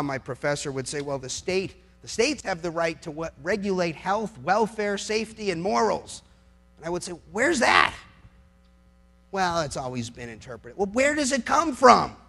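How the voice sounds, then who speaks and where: A man's voice: high voice, a bit bright. A middle-aged man, a lawyer, giving an impassioned political speech in an emphatic, sarcastic way, with rhetorical questions and repeated phrases.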